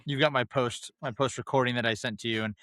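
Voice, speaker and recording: A man's voice: mid-range voice, fairly even intonation, fast, a bit bright, no room sound. A man co-hosting a casual film discussion, talking conversationally and restating his points with emphasis. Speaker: podcast co-host.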